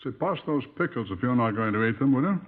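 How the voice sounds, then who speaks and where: A man playing a wry, unhurried police superintendent in a 1950s radio drama, dry, droll and deliberate. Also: medium pitch, lively intonation, lightly acted. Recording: tinny, narrow 1950s radio recording.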